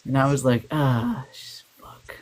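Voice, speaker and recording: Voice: medium pitch, sing-song, unhurried. An audiobook narrator guest telling rambling, laughing anecdotes about recording work in a casual chat. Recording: faint hiss.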